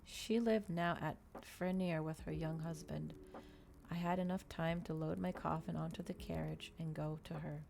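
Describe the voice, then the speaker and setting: A woman reads a brooding male vampire telling of his past, grave and resolute. The tone is low and dark, with deliberate phrasing suited to a gothic storybook. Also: fairly even intonation, gravelly, a little hiss or hum.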